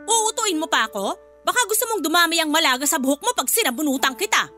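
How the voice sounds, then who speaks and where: A woman's voice: high voice, very animated, fast, bright, forceful tone, full character voice. An actress playing a bossy, hot-tempered, spiteful woman of the household in a Filipino radio drama, scolding and haughty with a Filipino accent. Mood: irritated, threatening. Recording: background music under voice.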